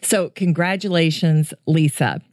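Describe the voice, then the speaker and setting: Low-voiced woman podcast host. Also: a little slow, a little mellow, dry recording, clean studio sound. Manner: chatty, friendly listener address. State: voice deeper after a coughing fit. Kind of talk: casual solo podcast talk.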